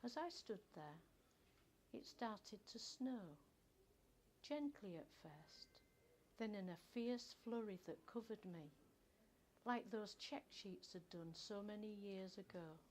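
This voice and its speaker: An older woman reads a first-person story from a script in a measured, expressive storytelling manner. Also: mid-range voice, slight background noise. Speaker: author reading own short story.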